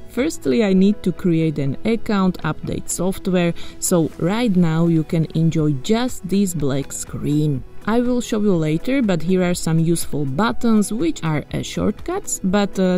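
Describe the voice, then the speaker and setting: Slovak woman doing a gadget unboxing, lowish mellow voice, jokey and chatty, music faint behind. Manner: chatty, self-mocking, playful asides. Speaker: tech vlogger. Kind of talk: casual unboxing narration.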